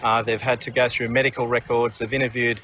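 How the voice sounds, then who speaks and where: A man, a police superintendent, giving a halting, hesitant statement to the media. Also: mid-range voice, flat delivery. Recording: noisy outdoor clip, slightly dull.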